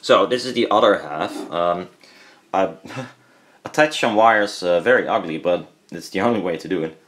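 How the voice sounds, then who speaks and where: Low-pitched Dutch-accented man, rambling. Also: moderate pace, fairly bright tone. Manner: hesitant, rambling, self-correcting. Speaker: hobbyist presenter. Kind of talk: casual hands-on product teardown commentary.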